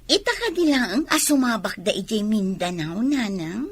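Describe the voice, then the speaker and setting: Actress as husky-voiced dutiful daughter. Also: female voice, medium pitch, big pitch swings, normal speed, no echo. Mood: calm, concerned. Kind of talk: Ilocano radio drama acting.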